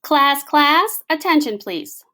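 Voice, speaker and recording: A woman's voice: high voice, animated, slow, pushed and bright, pitched above the performer's own voice, exaggerated voice. A woman reading a storybook as a gushing, bustling female principal, effusive and reassuring. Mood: announcing.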